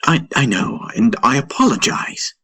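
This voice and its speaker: A man plays an anxious junior officer in a sci-fi audio drama. The voice is husky and swings wildly up and down as it argues and pleads. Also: mid-range voice, big pitch swings, a little slow, pushed and bright, pitched above the performer's own voice, heavily acted. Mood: apologetic.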